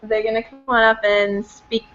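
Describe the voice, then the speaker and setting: A woman teacher with a quiet, soft voice, hosting a video call with her class. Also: on the high side, level tone, normal speed, a little hiss or hum. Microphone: laptop. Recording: dull classroom audio.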